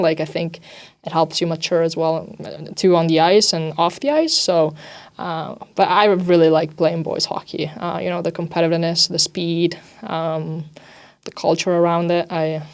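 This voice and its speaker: A young woman who grew up in Italy and speaks Italian natively gives relaxed, informal interview answers. Her voice sits a little low for a woman, and she peppers her talk with "like" and "you know" as she describes life as an athlete. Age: young adult. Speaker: ice hockey defender.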